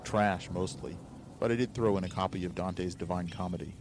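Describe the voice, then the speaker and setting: A man's voice: deep voice, a little fast, soft background music. A man reading a scripted first-person story with a wry delivery, on a noisy amateur recording.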